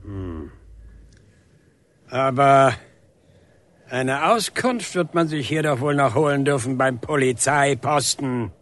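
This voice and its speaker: A man acting in a radio drama as an aggrieved older painter, husky, deliberate and insistent, with grunting hesitations. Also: mid-range voice, animated, a bit bright. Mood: dry, insistent. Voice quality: husky, rough. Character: aggrieved, defiant older painter confronting a policeman.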